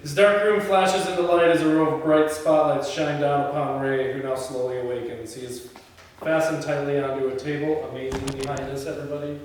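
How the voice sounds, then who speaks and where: A man's voice: fairly high pitch, fairly even intonation, fairly quick. Man reading aloud in a very echoey room. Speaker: film crew member reading the script. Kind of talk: script narration and casual crew talk. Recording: echoey room, distant mic, faint noise.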